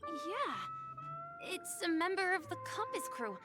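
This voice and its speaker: A woman voicing a gentle, earnest young boy from a video game, telling stories in a wistful, hesitant way. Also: extremely high pitch, huge pitch swings, measured pace, music in the background, full character voice. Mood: eager. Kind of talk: scripted game voice acting.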